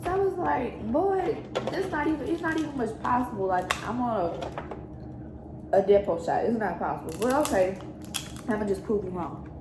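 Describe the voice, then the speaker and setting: Young woman chatting over clear background noise. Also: a little high, animated, normal speed, roomy sound. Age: young adult. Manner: conversational, quotes remembered exchanges. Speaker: daughter co-hosting a storytime vlog. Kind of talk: casual personal storytelling.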